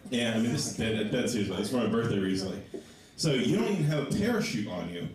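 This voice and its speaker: Stand-up comic with a low voice, echoey club. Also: male voice, quick pace, slight background noise. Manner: animated storytelling, voices for others. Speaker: stand-up comedian. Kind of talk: stand-up comedy set. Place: small comedy club. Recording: echoey club sound from a distant mic.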